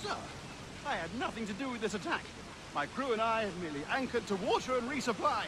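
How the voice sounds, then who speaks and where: An actor playing a timid, naive British gentleman merchant in a video game, polite, effusive and hesitant in manner. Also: very high-pitched, sing-song, fast, noisy background. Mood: panicked pleading. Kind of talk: video game cutscene acting.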